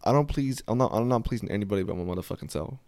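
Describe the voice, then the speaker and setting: A young man hosting a podcast, with a deep voice, chatting casually in a profane, rambling way. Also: expressive, a little mellow, no room sound. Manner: profane, rambling, quick storytelling. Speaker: podcast host.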